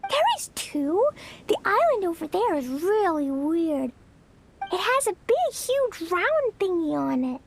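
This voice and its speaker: A woman voicing an excitable, innocent little girl adventurer in a video game. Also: very high-pitched, unhurried, gravelly, slight background noise, exaggerated voice. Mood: earnest. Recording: music behind the voice.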